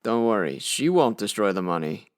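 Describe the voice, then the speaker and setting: Wry, lively radio crime hero. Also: male voice, lowish voice, moderate pace, clean tone. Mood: cool, wry. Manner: dry, unflappable, sardonic. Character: cool, wry tough crime photographer hero. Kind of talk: old-time radio detective acting.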